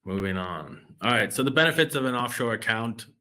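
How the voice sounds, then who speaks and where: Bright-voiced American man presenting a webinar. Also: medium pitch, expressive, brisk. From United States. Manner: loose, hesitant delivery with many fillers and restarts. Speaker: relationship manager presenting a webinar.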